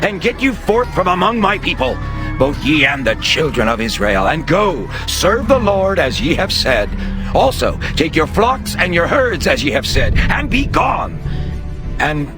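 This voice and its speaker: An actor playing Pharaoh, a proud Egyptian king, giving drawn-out, lofty commands over music. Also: on the high side, sing-song. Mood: urgent. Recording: music under the voice.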